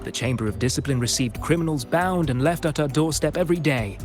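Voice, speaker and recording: A man's voice: a little high, close to an everyday voice. Formal, courteous officer played by a man, guitar behind. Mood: courteous, solemn. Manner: formal, deliberate storytelling. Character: courteous, formal male officer of a peacekeeping order. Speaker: game character, officer of an order. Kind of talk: scripted video game dialogue. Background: plucked guitar music.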